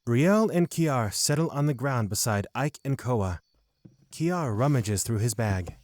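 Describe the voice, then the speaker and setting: A man reads scripted fantasy narration as a storyteller. His voice sits at a medium pitch, recorded close and dry. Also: animated, unhurried, darkish tone, no echo.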